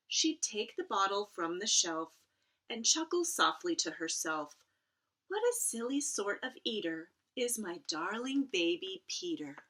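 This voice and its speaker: Slow-paced woman talking to children. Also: mid-range voice, fairly bright tone. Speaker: school librarian reading aloud to children. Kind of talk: casual chat and read-aloud storytelling for children. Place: at home.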